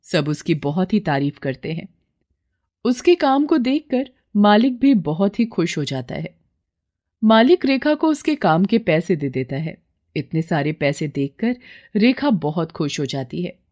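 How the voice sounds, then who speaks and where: A woman's voice: fairly low pitch, sing-song, unhurried, a little mellow. A woman storyteller reading scripted narration for a children's moral story.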